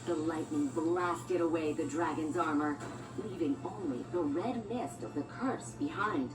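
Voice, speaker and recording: Echoey low-pitched woman reading a storybook. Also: a little slow. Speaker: storyteller narrator. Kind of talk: scripted storybook narration. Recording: echoey, distant, music bed. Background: music and sound effects.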